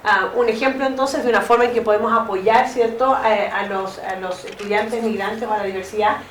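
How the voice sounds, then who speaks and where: A woman interpreting a lecture, speaking quickly with frequent "eh" fillers and "¿cierto?" tags. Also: medium pitch, fairly even intonation, slight background noise. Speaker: interpreter and moderator. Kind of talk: consecutive interpreting. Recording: echoey room.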